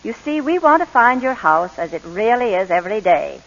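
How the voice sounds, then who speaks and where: An actress playing a brisk, courteous but firm middle-aged orphanage inspector in a 1940s radio drama. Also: female voice, mid-range voice, big pitch swings, fairly bright tone. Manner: composed, polite, businesslike. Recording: dull, lo-fi 1940s radio recording.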